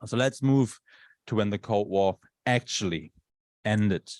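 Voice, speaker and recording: A man's voice: lowish voice, unhurried, a little mellow, no room sound, studio quality. A male university lecturer who is not a native English speaker, giving a conversational lecture and often checking in with tag questions. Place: lecture room.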